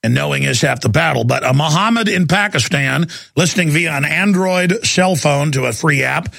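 American male radio host, fairly high but gravelly, opinionated monologues, tight dry studio sound. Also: normal speed, a bit bright, dry recording. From United States. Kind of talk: live call-in political talk radio.

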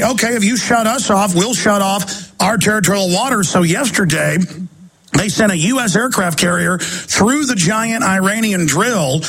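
A man hosting a political radio talk show, speaking in an urgent, emphatic, rapid-fire way. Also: sits high, creaky, a bit bright, husky, poor audio.

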